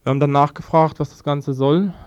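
Guy giving eyewitness account, very flat delivery, clean smooth voice, repeats words as he goes. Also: a little high, brisk, muffled recording. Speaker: eyewitness interviewee, affected resident. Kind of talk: spontaneous first-hand account.

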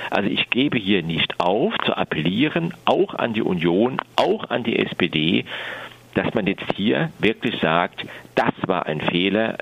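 A man's voice: low voice, creaky, a bit bright, breathy edge, lo-fi sound. A man giving a political appeal in a radio interview as a refugee rights advocate, speaking insistently and emphatically.

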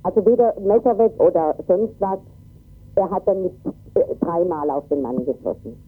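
Shaken woman eyewitness on the phone, rough husky voice, halting but emphatic, bit of music behind. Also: on the low side. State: shaken, in shock. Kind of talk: spontaneous eyewitness account. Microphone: telephone. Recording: tinny phone line, faint music.

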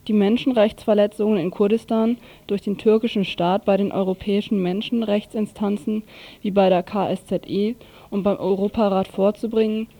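Woman reading radio news, mellow voice. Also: mid-range voice, fairly even intonation, normal speed, vocal fry.

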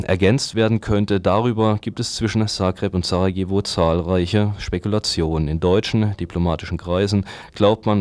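A man reading newspaper articles aloud for a radio news programme in a scripted, measured news style. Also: sits low, darkish tone, dry recording. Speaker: radio reader of press articles.

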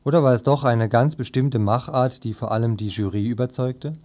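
A man's voice: mid-range voice, fairly even intonation, a little fast, mellow. A man reading scripted newspaper commentary for a radio press review.

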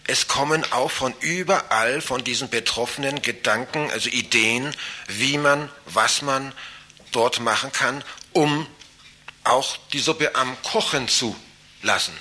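A man's voice: medium pitch, unhurried, bright, forceful tone. A man activist talking informally in an interview, rambling and searching for his words. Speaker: campaign group activist interviewed.

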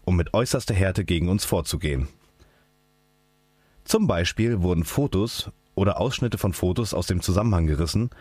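A man's voice: low voice, a little mellow, slightly rough, no room sound. A man, an activist, reading out a scripted political statement. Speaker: activist speaker. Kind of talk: scripted political speech read aloud.

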